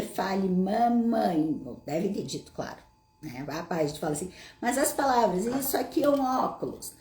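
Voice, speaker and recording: Gaúcho-accented woman, mellow and roomy. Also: a little high. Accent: Gaúcho Brazilian Portuguese. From Brazil, Rio Grande do Sul. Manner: conversational, asks the audience rhetorical questions. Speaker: psychologist host answering audience questions. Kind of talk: casual explanatory monologue.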